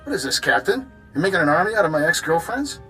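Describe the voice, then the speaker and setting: A man's voice: fairly high pitch, very animated, fast, pushed and bright, soft background music, full character voice. A man playing a slick, smug, easily exasperated rogue villain in a video game. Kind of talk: video game cutscene acting.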